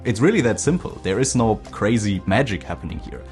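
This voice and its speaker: Lively man narrating a scripted explainer. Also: lowish voice, brisk, faint music behind. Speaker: language learning video creator.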